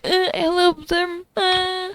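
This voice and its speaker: A woman's voice: extremely high pitch, completely flat delivery, slow pace, fairly bright tone, dry recording. A woman giving casual let's play commentary, reacting to a game story in a giggly, gushing, think-aloud way. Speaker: gamer reacting to a story quest. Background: faint game music.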